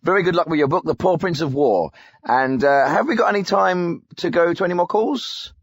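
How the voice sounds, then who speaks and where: An actor playing a glib, patronising radio host presenting a spoof phone-in show. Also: male voice, a little high, lively intonation, a little fast, slightly rough, no echo, lo-fi sound. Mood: brisk sign-off. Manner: patronising, breezy, dismissive.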